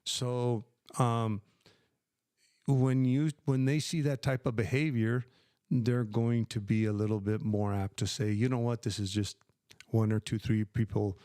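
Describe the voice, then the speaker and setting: A man's voice: mid-range voice, steady tone, a little slow, warm tone, clean tone, dry recording. Older American man, retired streamer, clean resonant voice, dry close sound, chatty with lots of "um"s. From United States. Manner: conversational, many fillers, answers viewer questions by name. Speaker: live stream host. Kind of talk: casual live stream Q&A.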